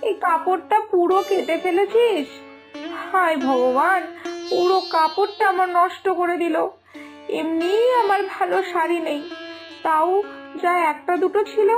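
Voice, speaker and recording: A woman playing a poor, loving village mother in an animated moral story, tender and lamenting as she gently scolds. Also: extremely high pitch. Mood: dismayed. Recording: music behind the voice.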